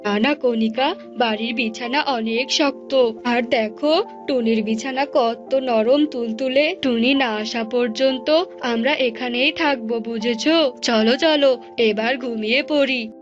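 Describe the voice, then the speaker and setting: A woman's voice: on the high side, takes it slowly. Woman playing greedy male bird, gloating, bossy, drawling, cartoon fable, music underneath. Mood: flattering, smug. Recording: dull lo-fi audio with music.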